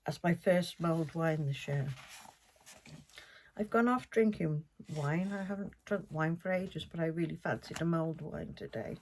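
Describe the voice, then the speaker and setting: A woman's voice: low-pitched, expressive, moderate pace, a little mellow. An older woman with a South Welsh accent, hosting a podcast in a casual, meandering, homely chat. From South Wales. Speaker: podcast host.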